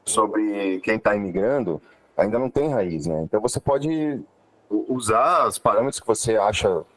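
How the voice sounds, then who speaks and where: A man's voice: lowish voice, expressive, normal speed, a bit bright, no room sound, rough recording. A man hosting a podcast, chatting and asking questions in a slangy, easygoing way. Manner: slangy and chatty, lots of "cara" and "pô". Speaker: podcast host. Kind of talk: casual podcast interview.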